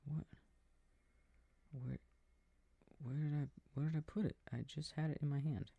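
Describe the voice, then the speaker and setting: A man playing an affectionate boyfriend in an intimate ASMR sleep-aid roleplay, murmuring and rambling softly with a breathy voice, close to the microphone. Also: on the high side, steady tone, moderate pace, no room sound, phone-quality sound. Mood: absorbed, mildly puzzled. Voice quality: soft, husky, breathy. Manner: murmured, rambling, gently bemused.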